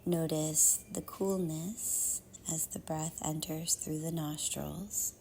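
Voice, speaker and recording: A woman leading a guided meditation in a soft, half-whispered, soothing voice, with measured phrases and long pauses. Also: low voice, fairly even intonation, creaky, slight background noise, poor audio.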